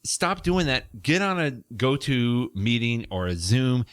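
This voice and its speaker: A man's voice: medium pitch, very animated, measured pace, fairly bright tone, clean tone, dry recording, clean studio sound. A middle-aged man hosting a podcast, explaining tech tips in a casual, conversational style.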